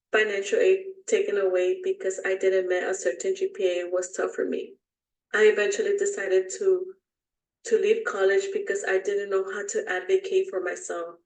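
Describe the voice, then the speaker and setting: Uma mulher de quase trinta anos, cujo inglês não é a primeira língua, lendo com cuidado sua história pessoal. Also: female voice, mid-range voice. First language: not English. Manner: careful, reads from notes, small slips. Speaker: student presenter. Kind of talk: reading a personal story. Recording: roomy and distant mic.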